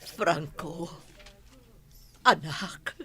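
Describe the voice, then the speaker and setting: Woman acting slow-spoken, sorrowful loving mother. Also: lowish voice, bright, forceful tone, exaggerated voice. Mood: tearful, loving. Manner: slow, tender, emotive. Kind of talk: Ilocano radio drama acting.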